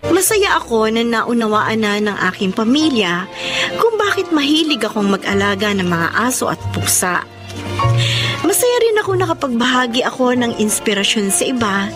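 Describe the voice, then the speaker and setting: An actress with a Filipino accent playing a warm, sentimental young animal lover in a radio drama. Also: female voice, on the high side, very animated, moderate pace, over music. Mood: heartfelt narration.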